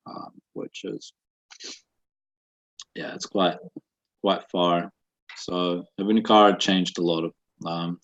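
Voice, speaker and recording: Slow-talking young Russian-accented man. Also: low voice. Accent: Russian with a slight New Zealand accent. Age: 20s. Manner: halting, many fillers and restarts. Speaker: guest. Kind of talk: casual interview answers. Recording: dull lo-fi webinar audio.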